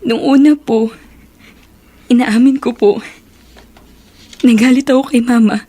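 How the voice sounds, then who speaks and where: An actress with a Filipino accent playing a polite, forgiving young girl in a radio drama, gently deferential. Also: on the high side, steady tone, measured pace, breathy edge. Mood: wistful.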